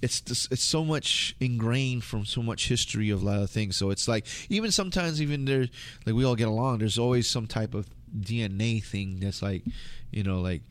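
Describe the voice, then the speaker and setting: Casual male guest, American, slightly low voice, loose and slangy, talks in meandering runs. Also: a little fast, hardly any pauses, clear, resonant tone. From United States. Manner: rambling, slangy. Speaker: interview guest. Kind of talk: casual conversation.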